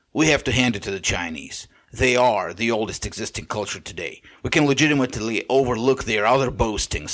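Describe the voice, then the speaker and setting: A man with a Bulgarian accent, whose first language is Bulgarian, hosting a podcast and lecturing in a measured monologue with occasional restarts. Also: medium pitch, fairly bright tone. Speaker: podcast host. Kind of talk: monologue commentary.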